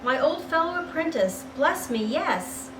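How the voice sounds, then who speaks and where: A woman's voice: high-pitched, sing-song, a little slow, pitched above the performer's own voice. A woman voicing an agitated, emotional old man with fervent, exclamatory delivery. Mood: excited. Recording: roomy, distant mic, noisy background.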